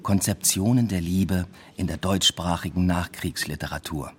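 A man's voice: deep voice, normal speed, breathy edge. An actor playing a weary, ironic male lecturer who narrates his own story in a radio play with dry, reflective understatement. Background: music.

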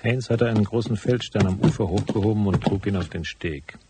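An actor playing a cool, laconic private investigator who narrates his case in a radio drama, with a husky voice. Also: lowish voice, brisk, very noisy, natural voice. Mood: cool, brooding. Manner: laconic, matter-of-fact.

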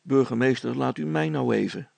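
A man's voice: medium pitch, moderate pace, gravelly, a little mellow, no room sound. A man voicing an easygoing, helpful village man in a storybook reading, talking plainly and familiarly. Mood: concerned, helpful.

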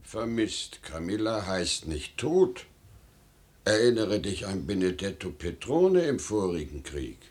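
An actor playing a simple, naive fishing-town man in a radio play, speaking deliberately and reassuringly. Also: low-pitched, very animated, full character voice. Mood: consoling, earnest.

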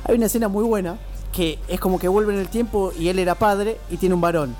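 A man's voice: high-pitched, quick pace, a little mellow. A man with a Rioplatense Argentine accent co-hosting a radio show in casual, teasing banter. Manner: teasing, prodding. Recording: music playing behind the voice. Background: background music.

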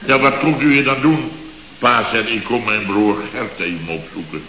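Actor as blustering, sarcastic building boss, boomy old radio. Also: male voice, normal speed, sharp tone, reverberant, in a large hall, highs cut off, a little hiss or hum, close to an everyday voice. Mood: sardonic. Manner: blustering, sarcastic, clipped half-sentences, repeats himself. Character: grumbling, exasperated male building contractor, long-winded storyteller. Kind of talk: 1970s radio comedy acting.

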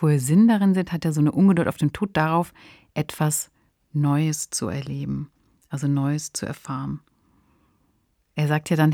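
Low, mellow-voiced woman. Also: gravelly, dry recording, studio quality. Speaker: podcast co-host. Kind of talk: conversational podcast commentary.

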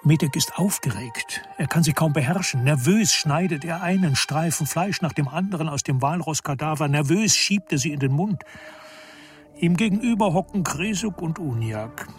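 A man's voice: on the high side, lively intonation, moderate pace, soft background music. A man narrating a radio play, reading scripted literary prose.

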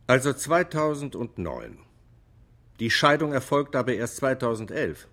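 A man's voice: mid-range voice, big pitch swings, a little slow, fairly bright tone, exaggerated voice. A man playing an earnest defence lawyer in a radio drama, arguing and probing in formal, emphatic language. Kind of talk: German radio drama acting, courtroom argument.

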